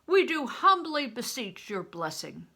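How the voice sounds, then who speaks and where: A woman voicing a boisterous male juggler from a storybook, declaiming loudly with ceremonious, pious humility. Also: on the high side, big pitch swings, a little slow, vocal fry, voice pushed up, heavily acted. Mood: humbly grand. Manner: loud, declamatory, ceremoniously humble. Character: Bear, big boisterous male juggler, humbly pious showman. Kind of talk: storybook character reading.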